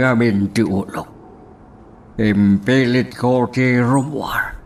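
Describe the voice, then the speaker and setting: An actor playing an older uncle just out of the hospital, speaking slowly and wearily. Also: male voice, fairly low pitch, slightly rough, full character voice.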